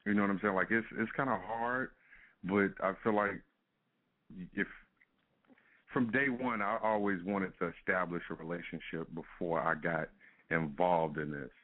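A man's voice: deep voice, steady tone, moderate pace. A man, a music artist guest, talking in a laid-back casual way with frequent laughs and fillers over a phone call. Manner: laid-back, laughs often, fillers like "you know what I'm saying". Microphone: telephone. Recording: phone-line call-in audio.

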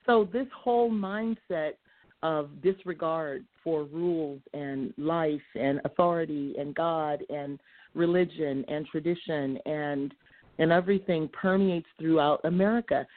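Soft-spoken woman caller, earnest and rambling, tinny phone line, dry sound. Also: deep voice, no echo. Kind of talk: call-in commentary.

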